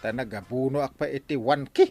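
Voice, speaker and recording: A man's voice: medium pitch, fast, darkish tone, faint music behind, natural voice. A man with an Ilocano accent playing a fretful village captain who worries over money, in an Ilocano radio comedy drama. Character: Kapitan Enteng, village captain, fussy.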